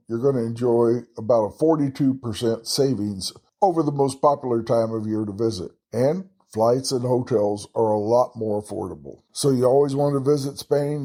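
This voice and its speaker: A man with a Texan drawl talks through travel tips in a loose, folksy way, tossing in chatty asides at his own expense. His voice is dark and mellow, with a husky grain to it. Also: mid-range voice. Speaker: travel podcast host. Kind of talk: casual solo travel talk.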